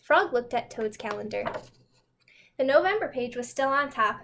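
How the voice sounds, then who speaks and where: A woman's voice: a little high, expressive, moderate pace, vocal fry, fairly bright tone, slight background noise. A woman narrating a children's storybook aloud as a scripted read-aloud. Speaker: storybook reader.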